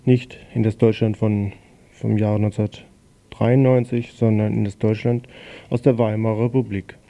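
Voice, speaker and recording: A man presenting on community radio, reading out a newspaper commentary in a measured, pointed way. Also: fairly low pitch, flat delivery, mellow. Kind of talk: reading a press commentary on air.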